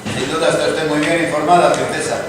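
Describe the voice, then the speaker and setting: A man playing a learned, scornful gentleman in a dramatized radio-play reading, with formal, declamatory delivery. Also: fairly high pitch, fairly even intonation, fast, natural voice. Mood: courteous, explanatory. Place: live stage reading in a hall. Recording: distant echoey hall, clear noise.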